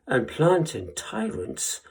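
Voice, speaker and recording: An actor playing a witty, impassioned young lord in a theatrical verse monologue, declaiming with deliberate pauses and swelling emphasis. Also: male voice, on the high side, wildly swooping pitch, creaky, breathy edge, full character voice, rough recording. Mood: ardent, lyrical. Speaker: Shakespearean actor performing a monologue.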